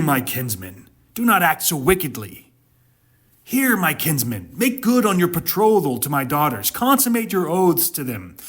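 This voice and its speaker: A man's voice: on the high side, fairly bright tone, full character voice. A man voicing a desperate, anxious man in denial, pleading and wheedling in a dramatic scripture reading.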